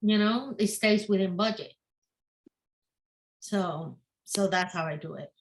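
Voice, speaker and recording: A woman's voice: medium pitch, takes it slowly, rough recording. A woman whose first language is not English, a guest author in a casual interview, often repeating and restarting her words.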